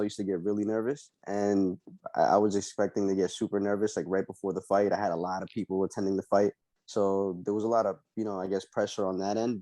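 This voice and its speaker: A young man from El Salvador, a fighter being interviewed, answering casually and haltingly with many ums and uhs. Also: on the low side, mellow, dry recording. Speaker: MMA fighter guest.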